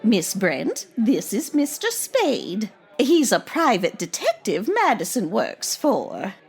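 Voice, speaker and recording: A woman playing a bubbly, bossy older grandmother hosting a party, husky and gushing, in old-time radio comedy style. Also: mid-range voice, wildly swooping pitch, takes it slowly, faint music behind, heavily acted. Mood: genteel, chatty. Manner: gushing, chatty.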